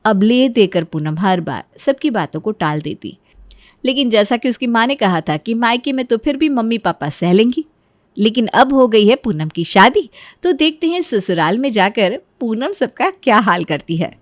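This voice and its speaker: A woman's voice: a little high, very animated, normal speed, dry recording, clean studio sound. A woman narrating a scripted story.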